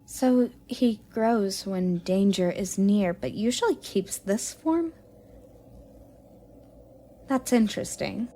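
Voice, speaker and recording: A woman playing a curious, playful young goddess in an audio roleplay, sweet and expressive with a slightly haughty streak. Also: mid-range voice, slow pace, pauses often. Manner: inquisitive, expressive, cooing at a pet. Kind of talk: romantic audio roleplay addressed to the listener.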